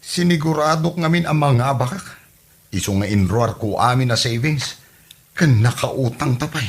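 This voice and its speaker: A man's voice: mid-range voice, lively intonation, moderate pace, breathy edge. A man acting a dejected, worried husband who lost an election, in a lamenting comedy radio drama style.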